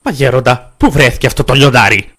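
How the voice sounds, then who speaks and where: An actor playing a curious, friendly young monk in an animated film. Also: male voice, mid-range voice, lightly acted. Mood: worried.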